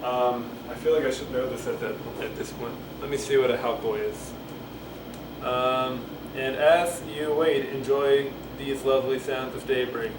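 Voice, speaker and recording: A man's voice: medium pitch, steady tone. Man in a noisy, distant, roomy recording. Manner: halting, repeats phrases, muses aloud. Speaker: amateur reader. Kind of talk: casual cold reading of a play with rambling asides. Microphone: camcorder at a distance. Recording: roomy, distant camcorder mic, loud noise.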